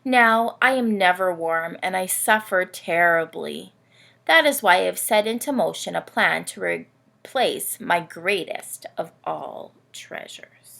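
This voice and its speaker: A woman's voice: lowish voice, expressive, takes it slowly, gravelly, pushed and bright, exaggerated voice. A woman reading a storybook as a pompous, long-suffering male camel, dramatic and plaintive. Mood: plaintive, aggrieved. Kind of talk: storybook read-aloud.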